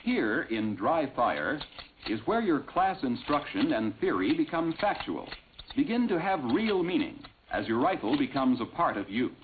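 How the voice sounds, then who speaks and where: A man reading scripted instructional narration in a measured, clearly enunciated way, on an old film soundtrack. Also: medium pitch, sing-song, slight background noise. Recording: tinny, band-limited vintage film soundtrack.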